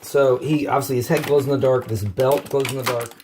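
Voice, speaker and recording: A hoarse man, rambling casually through a toy collector's unboxing video. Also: mid-range voice, steady tone, brisk, a little mellow, slight background noise. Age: 30s. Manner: rambling, many fillers and restarts. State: hoarse from bronchitis.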